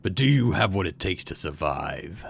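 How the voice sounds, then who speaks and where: A man's voice: low-pitched, big pitch swings, moderate pace, creaky, slight background noise, full character voice. A man voicing a grave, firm male security chief addressing recruits in a sci-fi visual novel. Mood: challenging. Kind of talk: voiced visual novel dialogue.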